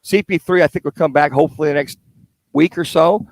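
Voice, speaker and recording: An American man with a fairly high voice talks through sports analysis in a casual radio co-host style. The sound is dry, with very little room around him. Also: fairly quick, no echo. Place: live remote radio broadcast at a car dealership.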